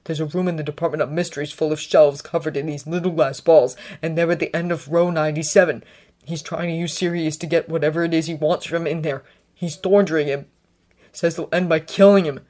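A woman's voice: low voice, fairly even intonation, a little fast, a little mellow, lightly acted. A stubborn teenage wizard boy, impatient and defensive, raising his voice, as a woman reader plays him. The voice stays near the reader's natural register. It is a storybook reading. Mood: urgent.

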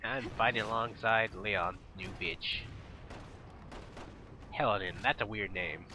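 A man's voice: on the low side, slow, bright, forceful tone, slight background noise. A man with a nasal, congested voice rambling and swearing while he commentates his game playthrough. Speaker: gamer commentator. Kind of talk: casual let's play commentary.

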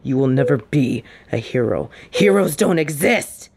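A woman's voice: very deep voice, animated, vocal fry, noisy background, exaggerated voice. A woman playing a stern, fiercely protective secret agent secretly in love, in an intimate, emotional audio roleplay. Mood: cold, scolding anger. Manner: slow, emphatic, emotionally intense delivery.

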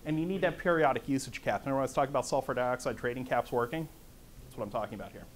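A middle-aged man with a conversational, lively manner, lecturing to a conference audience in a meeting room. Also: medium pitch, racing, slight background noise. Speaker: conference speaker. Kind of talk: lecture on economics.

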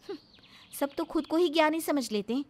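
A woman's voice: high voice, a little fast, voice pushed up, close to an everyday voice. A woman voicing a scheming, self-centred young daughter-in-law in a cartoon story, with exaggerated dramatic delivery. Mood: smug.

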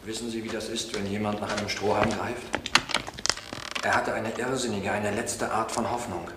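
An actor playing a defensive, emotional former drug counsellor in a crime radio drama, speaking fervently and haltingly. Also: male voice, lowish voice, level tone, close to an everyday voice. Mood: earnest, fervent. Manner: halting, fervent, pleading for understanding. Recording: echoey room, noisy old recording.

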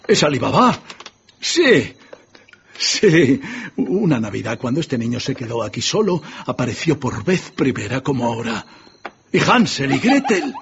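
Old remorseful miser, gravelly, wistful, theatrical, radio drama, music underneath. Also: male voice, mid-range voice, lo-fi sound. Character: old miserly man revisiting his childhood, emotional and remorseful.

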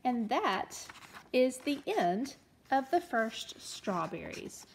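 A woman reading a storybook aloud to young children as a teacher, expressively, pausing to explain and ask questions. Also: high-pitched, sing-song, slight background noise. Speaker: elementary teacher reading a picture book aloud.

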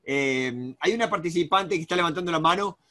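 Argentine male coach in his 40s, high bright voice, rambling webcam presenter. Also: a little fast. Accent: Argentine Spanish. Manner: rambling, many restarts and fillers. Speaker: negotiation coach. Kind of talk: live webinar presentation. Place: home office with bookshelves. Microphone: video call webcam.

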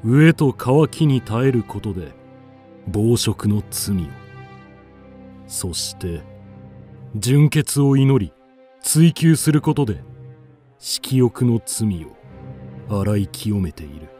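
An actor playing a grave poet and wise mentor, solemn and measured, in a radio drama. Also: male voice, mid-range voice, very animated, dark tone, soft background music. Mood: grave, instructive.

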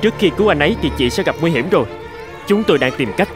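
A man's voice: fairly high pitch, lively intonation, very fast, music in the background, lightly acted. A man voicing an anxious, earnest rescue worker in a cartoon drama. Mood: anxious, urgent.

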